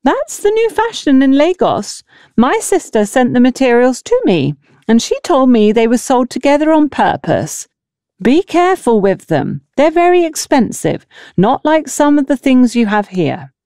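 Female narrator playing pushy village mother, pompous and indignant, pitched high, swooping, audiobook drama. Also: big pitch swings, unhurried, mellow, no echo, clean studio sound, full character voice. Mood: haughty, insistent.

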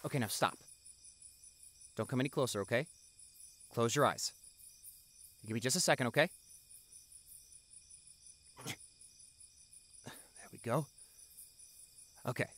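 A man's voice: mid-range voice, unhurried, long pauses, a bit bright. A man playing an affectionate doctor boyfriend in his forties, talking casually and a little hesitantly in an intimate audio roleplay. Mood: gently excited. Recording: car ride ambience behind the voice.

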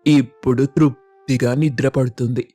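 Mellow, even-toned village husband, acted by a man. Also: medium pitch, moderate pace, no echo, faint music behind, close to an everyday voice. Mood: savouring. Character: food-obsessed, stubborn village husband craving chicken pulao. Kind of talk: Telugu moral story voice acting.

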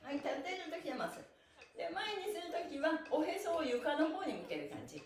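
A woman's voice: extremely high pitch, brisk. A middle-aged woman hosting an upbeat live stretching lesson, encouraging and cheerful as she gives cues and chats to viewers. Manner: animated, cheerful, encouraging, often laughing. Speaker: stretching instructor and pantomime teacher, live-stream host. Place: small bare room with wooden floor, indoors. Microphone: device mic a few steps away. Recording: echoey room, mic at a distance.

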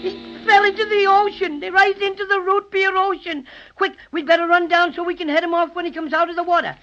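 Plucky high-voiced young boy. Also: level tone, a little fast, a bit bright, faint music behind. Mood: excited, urgent. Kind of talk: 1930s children's radio acting. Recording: muffled old 1930s radio recording.

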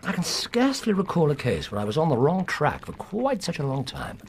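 An actor playing a shrewd, composed English gentleman detective in a Victorian radio drama, speaking with precise, measured authority. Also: a little high, wildly swooping pitch, gravelly, a little hiss or hum, full character voice. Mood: musing, ironic.

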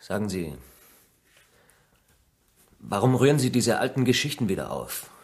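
An actor playing a bitter, defensive village shopkeeper under suspicion in a radio crime drama, curt and indignant. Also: male voice, fairly low pitch, very animated, a little slow, a little mellow.

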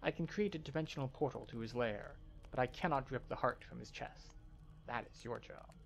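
A man's voice: mid-range voice, brisk, vocal fry, lightly acted. A man voicing a vengeful, imperious expedition leader from a fantasy game, haughty and dramatic in his commands.

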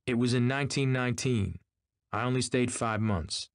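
A man's voice: mid-range voice, moderate pace, no room sound, studio quality, pitched above the performer's own voice, close to an everyday voice. A man voicing an earnest, self-assured suitor with a polite, insistent manner in an audiobook. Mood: polite, controlled. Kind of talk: audiobook character acting.

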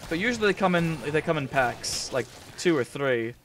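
A man's voice: on the high side, animated, moderate pace, a bit bright, a little hiss or hum. A young man casually commentating a shooter game at home, rambling and swearing as he chats with his viewers over a desk mic. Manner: rambling, profane, chatty with viewers. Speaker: gaming commentator. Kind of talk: casual let's-play commentary. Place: small room at home.

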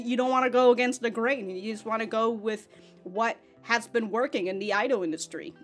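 A young woman casually reviewing an anime episode into a studio mic, rambling with restarts and quick laughs. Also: mid-range voice. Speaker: anime reaction youtuber. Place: small room, home studio. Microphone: foam-covered studio mic in front.

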